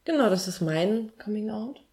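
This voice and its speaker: A woman's voice: medium pitch, expressive, normal speed, a little mellow. A woman in her thirties from Berlin co-hosting a casual podcast chat, bubbly and playful. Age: 30s. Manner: bubbly, playful, laughs often. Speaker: podcast co-host.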